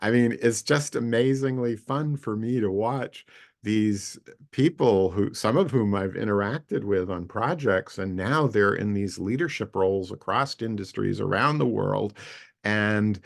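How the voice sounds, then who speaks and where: A man's voice: on the low side, unhurried, gravelly. A man in his late sixties talking casually on a video call, introducing himself to a community group in a rambling, friendly way with many fillers and restarts. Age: 60s. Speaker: co-founder introducing an online community session.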